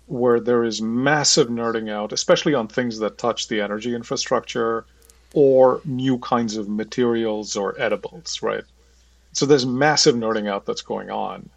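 Husky man hosting an interview. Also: medium pitch, moderate pace, no room sound, distant mic. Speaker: interview host. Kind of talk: conversational podcast interview.

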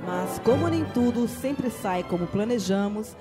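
A narrator reading a radio drama in a measured storytelling manner. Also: mid-range voice, lively intonation, over music.

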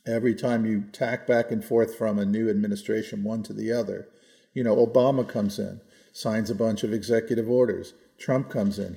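An American man in his sixties in a reflective, conversational policy discussion, measured and often qualifying his own points. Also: lowish voice, creaky, mellow. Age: 60s. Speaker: podcast co-host.

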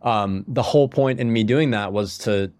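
A man's voice: lowish voice, normal speed, a little mellow, no echo. A young man, a musician guest on a podcast, telling his story in a rambling casual way full of "like" and restarts, a bit sniffly from a cold. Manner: rambling, heavy use of "like", frequent restarts.

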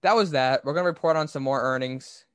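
A man's voice: medium pitch, fairly quick, a bit bright, smooth, no room sound, studio quality. A man hosting a podcast, giving opinionated, explanatory business commentary in a conversational way. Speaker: podcast host.

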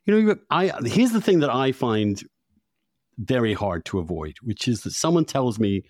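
A man hosting a conversational podcast, thinking aloud in a halting way and repeating words as he forms his point. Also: medium pitch, very animated, a little mellow, no echo, studio quality. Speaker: podcast host. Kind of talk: casual conversational interview.

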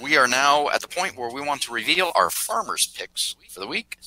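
Bright, sharp-voiced man hosting a podcast. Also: mid-range voice, very animated, low-quality recording. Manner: brisk, steers the segments. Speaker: podcast host.

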